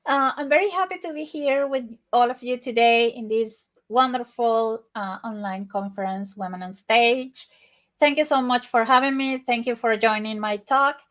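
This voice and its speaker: A woman's voice: on the high side, moderate pace, a bit bright. A woman from Venezuela with a Venezuelan Spanish accent, giving an informal tech workshop presentation at an online conference.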